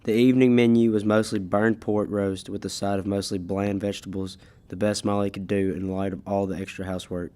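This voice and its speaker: A man's voice: lowish voice, level tone, a little fast, few pauses, warm tone, close to an everyday voice. A teenage boy reading scripted storybook narration in an amateur school radio play. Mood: measured. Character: measured storybook narrator of a gothic tale.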